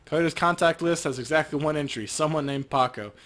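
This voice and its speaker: Man co-hosting a game playthrough, higher-pitched voice. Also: brisk, a bit bright. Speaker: let's play co-host; actor. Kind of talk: casual game chat and reading game text aloud.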